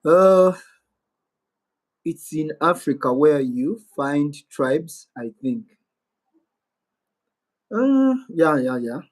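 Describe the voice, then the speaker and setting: A man's voice: a little high, expressive, drawn-out words, pauses often. A man with a Ugandan accent hosting a casual live-stream Q&A, rambling and musing aloud as he reads out viewer comments. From Western Uganda.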